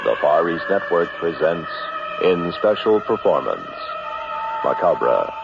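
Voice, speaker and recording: A deep, gravelly announcer delivers slow, portentous lines with dramatic swings. It is vintage radio, dull and noisy. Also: male voice, sing-song, exaggerated voice. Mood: ominous. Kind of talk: early 1960s radio announcing.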